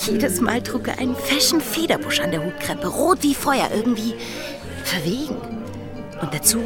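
A woman's voice: medium pitch, natural voice. An actress playing a headstrong, curious young woman disguised as a boy in a German radio play. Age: young adult.

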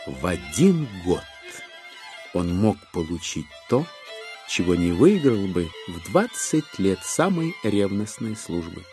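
A male narrator tells a literary story with a wry, expressive delivery. His medium-pitched voice moves in lively swings, and soft music with violin plays behind him. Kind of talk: scripted literary narration in an audio play.

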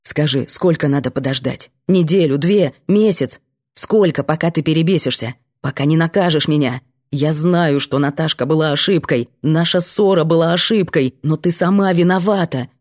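A woman's voice: low-pitched, normal speed, a little mellow. A woman voicing a stubborn, jealous young male student with a pushy, sarcastic manner in an audiobook. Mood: pushy, accusing.

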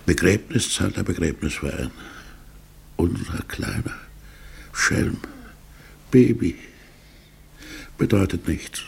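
A brooding middle-aged man in an old radio drama. His voice is very husky and full of creak. He speaks haltingly, murmuring as if thinking aloud, on the low side. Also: lively intonation, full character voice. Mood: mournful. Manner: halting, murmuring, drifting into inward monologue. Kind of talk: 1960s German radio play acting.

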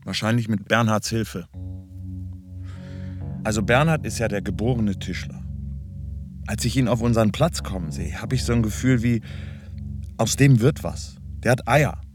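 Radio drama role for a male actor: plain-spoken timber man reminiscing bluntly, fairly low pitch, music underneath. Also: moderate pace, long pauses, natural voice. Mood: admiring, reflective. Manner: blunt, colloquial. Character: plain-spoken sawmill man fondly admiring a younger carpenter.

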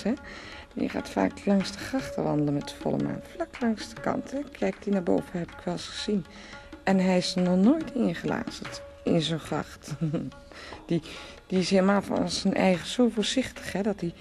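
A woman's voice: medium pitch, gravelly, close to an everyday voice. An actress playing a dreamy, teasing woman who rambles in musing repetitions in a Dutch radio play. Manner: musing, repetitive, breaks into soft laughs.

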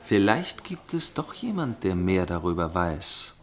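A low-pitched gentleman storyteller from a radio play. He is inquisitive and suspicious. His delivery is formal and measured, with a probing edge. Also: big pitch swings. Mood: curious, probing. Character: inquisitive gentleman narrator, suspicious dinner guest with probing temper. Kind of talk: German radio play narration and dialogue.

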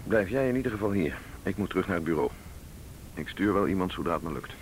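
Sardonic, brusque commissioner, low-pitched, in a faintly noisy radio drama recording. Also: male voice, lively intonation, quick pace. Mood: irritated. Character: brusque, impatient male police commissioner. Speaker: police commissioner. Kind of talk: Dutch radio drama acting.

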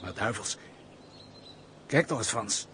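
An actor playing a bitter, curt, reclusive gentleman who shuns people, in a Dutch period radio play. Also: lowish voice, expressive, takes it slowly, a little hiss or hum. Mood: bitter, scornful.

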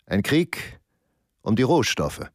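A cold Nazi official who proclaims his war aims like a speech. His voice swings up and down with lively emphasis. The recording is dry and close. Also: medium pitch, slow, no echo. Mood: declaiming. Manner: declaiming, oratorical. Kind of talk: documentary radio drama reading.

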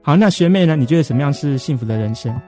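A man hosts a radio interview in Taiwanese Mandarin. He talks quickly in a mellow, mid-pitched voice, effusive and encouraging with his guests. Light music plays softly behind him. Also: expressive. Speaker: interview host.

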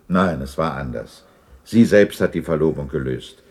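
Very deep, husky old miser. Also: male voice, expressive, moderate pace, a little mellow, exaggerated voice. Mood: wistful, regretful. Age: older. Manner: wistful, heavy-hearted. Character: regretful old miser revisiting his past. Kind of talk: 1960s German radio play acting.

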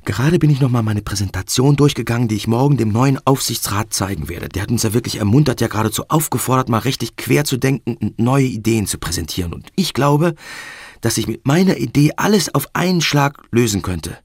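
An actor playing an anxious, driven banker speaking a private audio diary in a radio drama, his voice creaky and husky. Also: mid-range voice, animated, brisk, a little mellow, no echo, studio quality. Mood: earnest, worried.

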